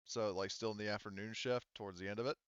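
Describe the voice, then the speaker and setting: Smooth, lower-voiced man running a game. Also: steady tone, fast talker, a bit bright, dry recording, muffled recording. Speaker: game master. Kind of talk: casual moderating of a tabletop game.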